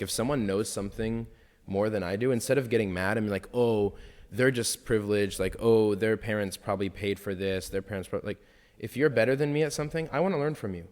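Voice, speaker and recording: A young man with a low voice talks earnestly on a casual podcast, reflecting on ideas and sometimes acting out other people's words. His voice carries a long reverb from the room. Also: brisk, darkish tone. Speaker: podcast co-host.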